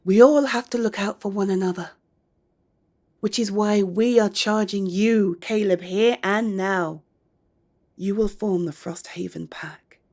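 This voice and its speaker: A woman voicing a stern female werewolf council elder who speaks firmly and sometimes drops to a hush. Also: mid-range voice, a little slow. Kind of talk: amateur audio drama acting.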